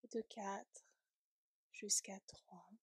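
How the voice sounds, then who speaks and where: A woman leading a guided yoga nidra relaxation in a soft, breathy, soothing voice, gently trailing off at times toward a whisper. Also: medium pitch, fairly even intonation, drawn-out words, no room sound, studio quality.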